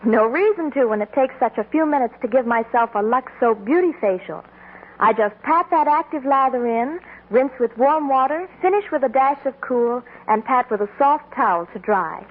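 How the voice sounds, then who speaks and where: A woman's voice: high voice, expressive, moderate pace. A woman playing a perky, beauty-minded young daughter in a 1940s radio advertisement. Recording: tinny 1940s radio broadcast.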